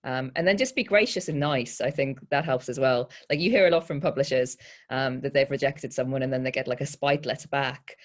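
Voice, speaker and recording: A woman poet giving informal panel advice in a candid, self-deprecating, halting way. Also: very deep voice.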